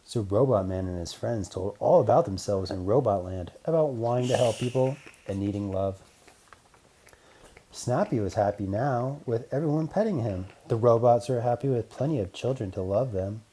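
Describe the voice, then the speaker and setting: Children's audiobook narrator: mellow, relaxed tone, medium register, deliberate storybook phrasing. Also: medium pitch, a little hiss or hum, low-quality recording.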